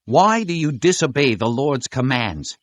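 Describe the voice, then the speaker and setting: A man's voice: medium pitch, sing-song, unhurried, fairly bright tone, no echo. A man playing a solemn prophet in a dramatized audio Bible, declaiming an accusing rebuke.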